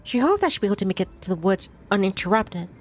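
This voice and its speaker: A woman's voice: mid-range voice, sing-song, fast talker. Woman narrating a story, music behind. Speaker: streamer reading a visual novel aloud. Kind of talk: expressive read-aloud narration of a story.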